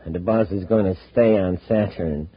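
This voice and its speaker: A menacing gangster of the spaceways, rough-voiced and short on patience. He speaks in 1950s radio adventure style on a dull, muffled recording. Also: deep voice, moderate pace. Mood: cold, conspiring. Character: menacing male gang henchman, impatient space crook.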